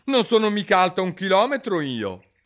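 A man's voice: high voice, sing-song, moderate pace, a bit bright, pitched above the performer's own voice. A man voicing a stubborn, outspoken young girl with a raised, pert voice. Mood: defiant.

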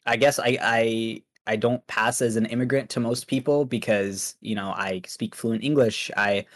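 A man's voice: medium pitch, fairly even intonation, moderate pace. A young man from Pakistan whose first language is Urdu, talking casually as an interview guest, rambling with many fillers and restarts. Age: young adult. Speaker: streamer guest.

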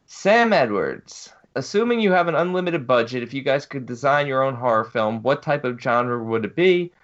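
A man's voice: medium pitch, animated, normal speed, a bit bright, muffled recording. Laid-back man hosting a podcast. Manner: laughs easily, reads questions out. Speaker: podcast host reading listener questions. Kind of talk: casual chat.

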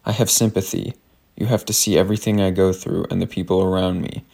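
A man gives a dramatic reading of a personal letter. His voice is low and he speaks earnestly and deliberately. Music plays behind him. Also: flat delivery, creaky, mellow. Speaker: reader.

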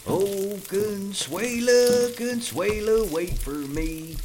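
Man playing a high-pitched, drawling cowboy cook. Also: drawn-out words, noisy background. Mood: cheerful, singing. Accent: American cowboy drawl. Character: folksy singing male ranch cook in a western. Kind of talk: western audio drama acting.

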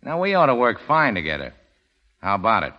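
An actor playing a tough, cynical racketeer with clipped, slangy talk in a 1930s radio drama. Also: male voice, on the low side, wildly swooping pitch, fairly quick. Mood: hard, businesslike. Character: tough, cynical male racketeer muscling in as boss. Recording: dull, lo-fi 1930s radio recording.

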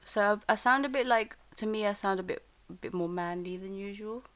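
A young British woman co-hosting a casual podcast chat, congested from a cold, chatty and quick to laugh. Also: mid-range voice, a bit bright. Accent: British English. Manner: chatty, laughs often, quick back-and-forth. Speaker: podcast co-host.

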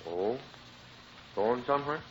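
A man's voice: mid-range voice, expressive, takes it slowly, fairly bright tone. A man playing a sheepish, flustered middle-aged husband and father with hesitant delivery in an old radio comedy. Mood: glum. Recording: dull, noisy old radio recording.

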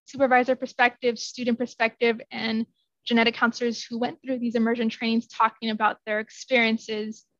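A woman's voice: fairly high pitch, flat delivery, fairly quick, no room sound, lo-fi sound. A woman genetic counselor answering interview questions in an earnest way, often hedging with "I think".

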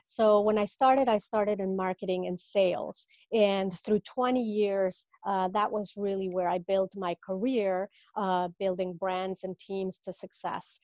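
A woman's voice: medium pitch, fairly even intonation, measured pace, few pauses, darkish tone, dry recording, lo-fi sound. A woman in her fifties from Mexico, a business coach, talking through her career in a casual interview with many fillers and restarts.

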